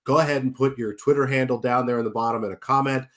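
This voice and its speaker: A man's voice: mid-range voice, fairly even intonation, fast. A man hosting a basketball betting show, sounding nasal as he gets over a cold, chatting casually with plenty of fillers. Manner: chatty, many fillers and restarts. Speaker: sports betting show host. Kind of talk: casual betting analysis video.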